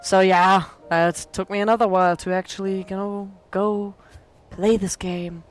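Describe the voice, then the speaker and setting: A hoarse woman casually rambling through gameplay commentary as a let's play creator, self-deprecating with many fillers. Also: medium pitch, level tone, measured pace, soft background music.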